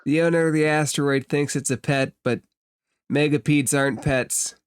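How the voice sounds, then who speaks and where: A man acting as game master, narrating a tabletop game casually with measured, hesitant explanations. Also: fairly high pitch, fairly even intonation, smooth, no room sound, studio quality.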